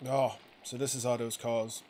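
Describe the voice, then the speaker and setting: A man's voice: mid-range voice, flat delivery, moderate pace, darkish tone, close to an everyday voice. A man voicing an apologetic, inquisitive visitor to an estranged friend in a video game, speaking mildly and hesitantly. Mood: curious. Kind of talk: acted reading of video game dialogue. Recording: clearly noisy game ambience behind voice.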